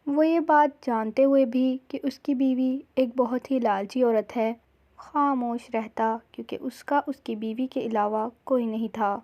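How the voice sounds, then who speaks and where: A woman's voice: on the high side, fairly even intonation, unhurried. A woman storyteller reading scripted narration for a cartoon village tale. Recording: slightly dull, lo-fi sound.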